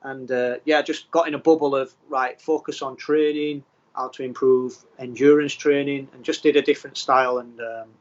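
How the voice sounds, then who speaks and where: A man from England, a professional footballer, answering interview questions in a plain, steady way with frequent ums. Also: mid-range voice, moderate pace, dull audio. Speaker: footballer guest.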